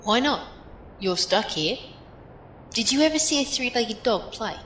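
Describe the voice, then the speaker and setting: A woman's voice: lively intonation, a little slow, a bit bright. A woman playing a plainspoken, philosophical survivor in an audio drama, giving encouraging, matter-of-fact advice. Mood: frank, encouraging. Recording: roomy echo, faint background rumble.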